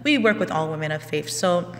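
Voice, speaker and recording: A woman in her thirties, coaching casually on a live stream with a slightly low voice. She talks in a relaxed, rambling way, full of ums. The sound carries a long reverb and a faint hum. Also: fairly quick. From Houston, Texas. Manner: relaxed, chatty, many ums and restarts. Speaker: life coach.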